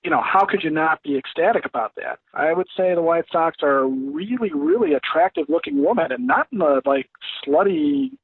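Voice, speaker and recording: Male sports guest, phone-quality and dry, higher-pitched, talks through analysis in a loose, rambling way. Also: expressive, moderate pace, few pauses, husky, dry recording. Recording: thin, dry phone-like line.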